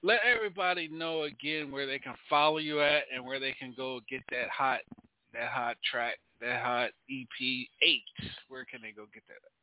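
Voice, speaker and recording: A man's voice: medium pitch, normal speed. A man hosting a radio talk show, chatting casually and playfully with his guests. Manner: playful, hums along. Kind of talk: casual chat. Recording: tinny phone-like line.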